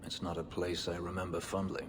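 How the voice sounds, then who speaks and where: A man voicing a brooding, bitter warrior in a video game, speaking in a measured, grave, dryly sardonic way. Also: low-pitched, monotone, in a large hall, background noise, lightly acted. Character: brooding, bitter male warrior from a slave-keeping empire.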